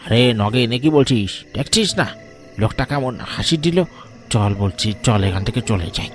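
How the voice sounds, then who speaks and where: Man playing nervous, expressive young friend. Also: medium pitch, a little slow, faint music behind, natural voice. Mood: anxious. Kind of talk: cartoon story voice acting.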